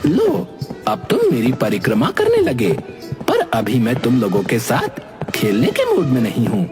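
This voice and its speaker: An actor voicing a playful, dramatic male talking animal in a Hindi cartoon, with exaggerated, drawn-out expression. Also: medium pitch, huge pitch swings, music in the background. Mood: teasing, playful. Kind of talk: Hindi cartoon character dialogue.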